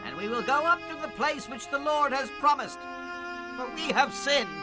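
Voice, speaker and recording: A man's voice: very high-pitched, lively intonation, normal speed, over music. A man playing an eager, repentant Israelite in a dramatized audio Bible, declaring his lines. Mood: eager, contrite.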